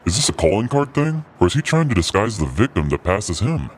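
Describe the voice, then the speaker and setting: A man's voice: lowish voice, big pitch swings, fairly quick, slight background noise. A man voicing a holiday cryptid who acts as a podcast guide, in scripted hosting narration. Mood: eerily genial. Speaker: host.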